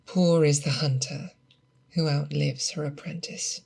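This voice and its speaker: A woman playing a seasoned, grave and protective female monster hunter in a slow, hushed, breathy ASMR roleplay, close to the microphone. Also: low voice, steady tone, full character voice. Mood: resolute, tender. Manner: slow, deliberate, hushed, with pauses. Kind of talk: ASMR roleplay, close soft speech and whispers. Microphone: close binaural microphone.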